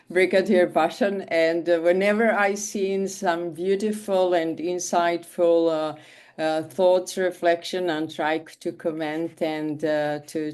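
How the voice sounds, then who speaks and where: Slow, smooth-voiced woman in her fifties. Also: fairly low pitch, a little mellow. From Switzerland. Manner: hesitant, many fillers and restarts. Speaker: leadership coach and consultant. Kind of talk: online call self-introduction. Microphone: video call.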